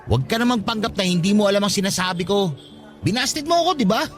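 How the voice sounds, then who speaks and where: A man's voice: sits high, expressive, quick pace, soft background music. A man playing a short, insecure, lovestruck young worker in a Tagalog radio romance, sulky and guarded in his talk. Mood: hurt. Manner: sulky, guarded, self-pitying.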